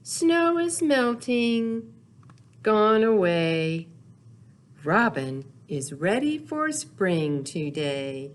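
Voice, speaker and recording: A woman's voice: medium pitch, big pitch swings, drawn-out words. A woman leading a storytime for young children, reading aloud and talking in simple, sing-song phrases. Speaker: children's library storytime host. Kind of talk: children's storytime with read-aloud, songs and questions.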